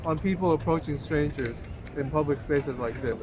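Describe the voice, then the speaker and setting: A man's voice: on the high side, moderate pace. A man doing a casual interview in a city park, asking questions in an agreeable, conversational way and following up on answers. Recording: outdoor recording with clear traffic noise.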